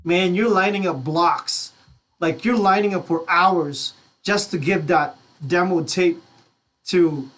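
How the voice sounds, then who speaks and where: Middle aged guy radio host, pretty high voice, slangy, switches languages while chatting. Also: slow, fairly bright tone. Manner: slangy, mixes Tagalog into English. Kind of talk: casual interview chat.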